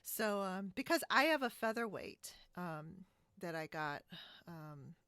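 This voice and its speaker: A woman hosting a podcast in casual, informal conversation, chatty and quick to giggle. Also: fairly low pitch, very animated, fairly bright tone, no echo. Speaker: podcast host. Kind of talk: casual chat about sewing. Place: indoors, split-screen video call.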